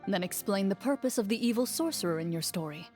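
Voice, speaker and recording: Actress as a composed, firm huntress over music. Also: female voice, mid-range voice, close to an everyday voice. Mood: earnest, firm. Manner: calm, measured, firm. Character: composed, noble, veteran woman monster hunter and swordswoman. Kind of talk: scripted fantasy game dialogue. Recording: music playing under the voice.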